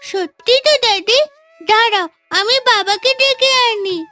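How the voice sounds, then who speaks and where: A woman's voice: very high voice, a little slow, pushed and bright, faint music behind, heavily acted. A woman voicing an earnest, innocent little village girl in a fairy-tale cartoon, with a thin childlike voice. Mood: tearful, earnest.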